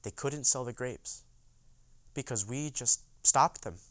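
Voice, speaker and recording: A young man acts out a proud veteran of a union boycott telling his story theatrically. The voice is medium in pitch and very clear, recorded close and dry. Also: slow, fairly bright tone, no echo. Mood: reflective, proud. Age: young adult. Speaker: actor in oral history dramatization. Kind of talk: dramatized first-person monologue.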